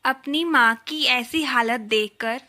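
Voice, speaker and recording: A woman's voice: high-pitched, slow, pushed and bright. A woman reading a scripted children's story as its narrator.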